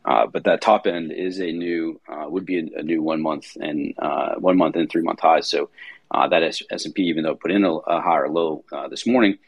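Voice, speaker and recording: Very deep raspy male host. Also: dry recording. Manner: rambling, heavy with fillers and restarts. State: tired. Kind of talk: casual market-review livestream chat.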